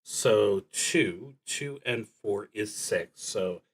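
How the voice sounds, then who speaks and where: Slow, low-voiced man thinking aloud. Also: husky. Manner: hesitant, musing, halting delivery. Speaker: solo tabletop roleplaying streamer. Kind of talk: unscripted solo game play, thinking aloud.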